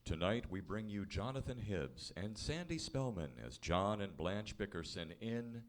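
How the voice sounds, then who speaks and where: A man's voice: fairly low pitch, animated, measured pace, slight background noise. A man hosting an old-time radio comedy show with theatrical, mock-grave scripted narration. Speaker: announcer and host.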